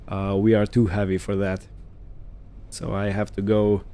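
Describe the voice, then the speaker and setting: A man giving casual roleplay gameplay commentary, unhurried and thinking aloud as he goes. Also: lowish voice, completely flat delivery, mellow, smooth. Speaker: gaming video host.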